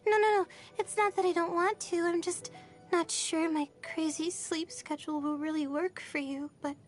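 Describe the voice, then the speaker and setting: A woman's voice: extremely high pitch, steady tone, moderate pace, soft background music. A woman voicing a sleepy, anxious young student stargazer in an anime game, hesitant and drowsy. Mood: apologetic, flustered. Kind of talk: anime video game voice lines.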